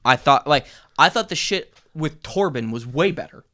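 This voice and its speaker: A man's voice: a little high, animated, moderate pace, pushed and bright. A man co-hosting a podcast in casual, opinionated chat, blunt and joking with lots of swearing. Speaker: podcast co-host reviewing a TV series.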